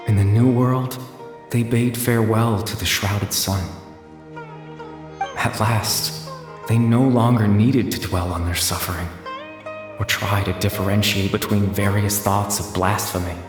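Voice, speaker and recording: A soothing solemn disembodied prophet, super echoey, music behind, reciting scripture in a game. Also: male voice, lowish voice, steady tone, measured pace. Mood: serene, solemn. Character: gentle, solemn unseen male voice reciting scripture. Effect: long reverb. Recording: echoing reverberant voice under music.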